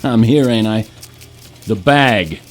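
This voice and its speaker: A man's voice: lowish voice, takes it slowly. An actor playing a young male casino robber in an audio drama, with tough street talk. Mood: cocky, menacing. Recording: dramatic music behind. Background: music.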